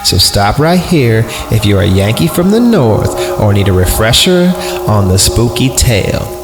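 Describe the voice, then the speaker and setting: Low-voiced irreverent man reading stories, echoey. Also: very animated, measured pace. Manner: irreverent, crude, breaking into mock singing. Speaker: podcast host reading stories aloud. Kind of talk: comedic story reading with sarcastic asides. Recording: roomy echo with music behind. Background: music.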